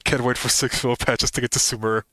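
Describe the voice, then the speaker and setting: A man's voice: medium pitch, little pitch movement, fast, a bit bright, no room sound. A man giving casual, musing commentary as he streams a game. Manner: musing, speculative.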